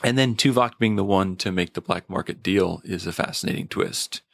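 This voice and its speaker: Low, creaky-voiced man reviewing casually. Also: moderate pace, no echo, studio quality.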